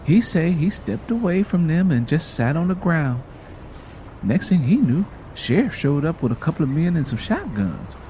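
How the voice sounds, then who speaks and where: A man's voice: fairly high pitch, very animated, moderate pace, dark tone, a little hiss or hum. A man voicing a frank, world-wise mother from the American South, telling a family tale in a folksy, plainspoken way. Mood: reflective storytelling.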